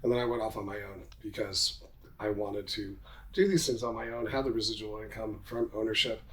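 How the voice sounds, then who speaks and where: Fast-talking middle-aged man, casual and self-assured. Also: lowish voice, steady tone, fairly bright tone, low-quality recording. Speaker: podcast guest, commercial real estate entrepreneur. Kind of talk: casual interview talk.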